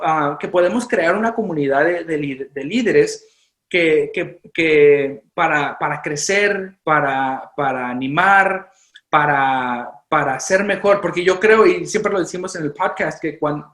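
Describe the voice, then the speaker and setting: A man who grew up in Chicago with Spanish as his first language speaks as a youth pastor in a casual interview. He stumbles and restarts his sentences often, slipping in English fillers. His voice sits in a roomy space with music playing underneath. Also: a little high. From Chicago, United States. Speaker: youth pastor and podcast guest.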